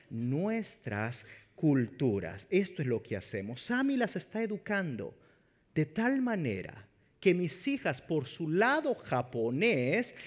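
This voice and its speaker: A man from El Salvador preaching a sermon as pastor, animated and joking as he speaks straight to the congregation. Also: high voice.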